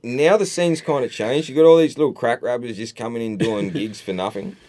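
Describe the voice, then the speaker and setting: A man guesting on a podcast, swearing freely and acting out both sides of a quarrel in a casual, animated chat. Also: medium pitch, fairly quick. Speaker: podcast guest, battle rapper. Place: podcast studio.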